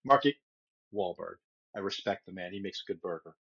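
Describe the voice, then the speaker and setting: A man's voice: sits low, lively intonation, fairly quick, creaky, a bit bright, husky, lo-fi sound. A middle-aged man from Brooklyn with a faint New York accent, co-hosting a casual podcast and tossing in dry jokes. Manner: dry joking asides. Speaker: podcast co-host. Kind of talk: casual conversation.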